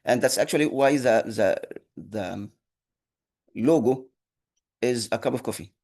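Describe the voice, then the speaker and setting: Guy teaching a coding class, arabic is his first language, gravelly voice, lots of uh and restarts. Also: mid-range voice, a little mellow. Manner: halting, many fillers and restarts. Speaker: instructor. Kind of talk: online lecture.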